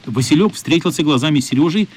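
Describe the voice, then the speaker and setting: A man narrating a radio play in an expressive, measured literary storytelling style. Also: fairly high pitch, husky.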